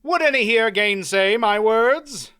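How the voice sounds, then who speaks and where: A man voicing a confident, courtly knight who speaks in formal archaic phrasing, loud and ringing. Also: high-pitched, lively intonation, slow, clean tone, no echo, clean studio sound, voice pushed up, exaggerated voice. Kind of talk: audiobook character voice.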